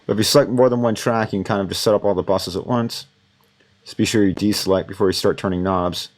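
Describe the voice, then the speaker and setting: A man teaching audio mixing, thinking aloud in a relaxed, rambling way with many fillers. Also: fairly low pitch, fast. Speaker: audio instructor.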